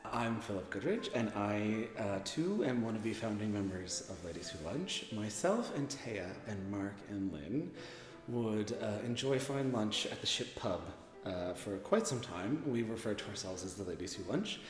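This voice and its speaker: Low-voiced man in an echoey hall. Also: animated, moderate pace, soft background music. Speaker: radio drama writer and performer. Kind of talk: casual interview talk.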